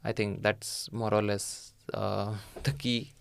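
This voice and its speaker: A man's voice: on the low side, flat delivery, takes it slowly, gravelly, clean tone, no echo. A young man in his twenties with an Indian accent, a podcast guest talking casually with many "like" fillers. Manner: hesitant, lots of "like" and "uh" fillers. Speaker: podcast guest, master's student. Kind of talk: casual interview conversation.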